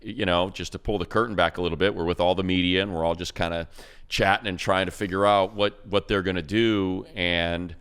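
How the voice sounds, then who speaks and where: A man's voice: deep voice, steady tone, a little fast, a bit bright, clean tone. A man, a radio play-by-play announcer, giving casual, rambling football analysis with plenty of fillers. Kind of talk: casual sports talk.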